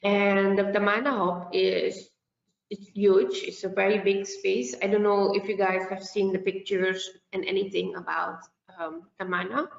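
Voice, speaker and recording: A woman from Suriname, a native Dutch speaker, answering questions in an informal online meeting in short, halting explanatory phrases. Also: medium pitch, little pitch movement, gravelly. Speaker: festival representative answering questions. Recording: echoey room, muffled online-call audio.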